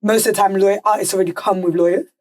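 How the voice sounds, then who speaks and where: A woman talks rapidly in a casual panel discussion. Her delivery is blunt and emphatic, with an assured, insistent way of making each point. The pitch sits in a middle range for a woman. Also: steady tone, a little mellow. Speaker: podcast guest, music legal and business affairs manager.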